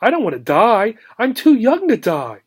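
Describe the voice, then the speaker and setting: A man voicing a young female pet gerbil in a children's storybook, anxious and excitable, with gasping exclamations. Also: high voice, normal speed, husky, voice pushed up, full character voice. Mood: panicked.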